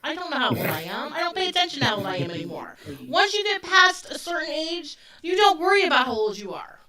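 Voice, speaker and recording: Bright-voiced woman in her forties, off-mic. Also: high voice, sing-song, quick pace. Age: 40s. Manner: loose and conversational, with frequent fillers. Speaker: podcast co-host. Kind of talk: casual true-crime chat. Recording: voice a bit distant from the mic.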